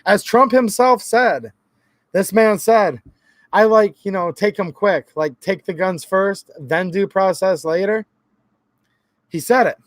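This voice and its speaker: Man, hoarse, high-pitched, earnest casual vlog talk, dry close sound. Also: slow, no room sound. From Michigan. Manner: earnest, talks directly to viewers as guys, repeats himself. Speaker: gun channel host.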